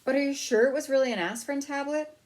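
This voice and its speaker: A woman's voice: sits high, fast talker, a little mellow, voice pushed up, natural voice. A woman voicing a fretful mother mouse, anxious and fond of her son, in a storybook reading. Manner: anxious, sighing, nervous.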